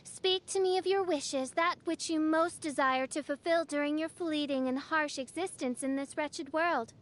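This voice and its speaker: An actress voicing a theatrical young self-styled princess in an anime game, speaking in pompous, archaic, melodramatic phrases. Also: female voice, high-pitched, normal speed, lightly acted. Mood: haughty, theatrical. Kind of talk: anime game character voice lines.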